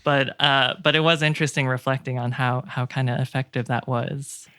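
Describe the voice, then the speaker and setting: Smooth-voiced podcast guest, close and dry. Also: mid-range voice, fairly even intonation, normal speed, bright, forceful tone, no room sound. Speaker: game developer. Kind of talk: casual podcast discussion.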